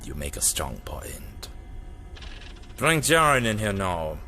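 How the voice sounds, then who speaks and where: A man playing a bright-voiced, ruthless dictator. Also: lowish voice, sing-song, slow pace, soft background music, heavily acted. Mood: commanding. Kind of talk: audio drama acting.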